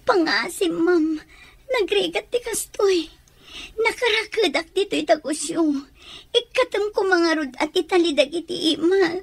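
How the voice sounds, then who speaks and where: An actress with an Ilocano Filipino accent playing a tearful young student pleading to be set free in a radio drama. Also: female voice, extremely high pitch, unhurried, vocal fry, no echo, heavily acted. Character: pleading young student held against her will.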